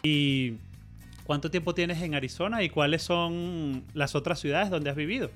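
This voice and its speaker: A man hosting an interview podcast, friendly and engaged, asking questions and reacting quickly. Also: fairly high pitch, fairly bright tone, faint music behind. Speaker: podcast host.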